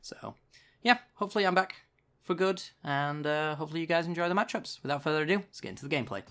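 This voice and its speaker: A man's voice: fairly high pitch, animated, quick pace, bright, forceful tone. A man giving casual, chatty card game commentary with dry sarcasm, still getting over a tickly sore throat. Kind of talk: gameplay commentary.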